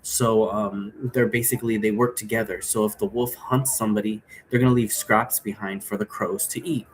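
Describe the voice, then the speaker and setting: A man's voice: on the low side, fairly even intonation, normal speed. A man giving a casual, unscripted explanation as a presenter, rambling loosely from one aside to the next. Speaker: presenter explaining an occult role-play game. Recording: clearly noisy room recording.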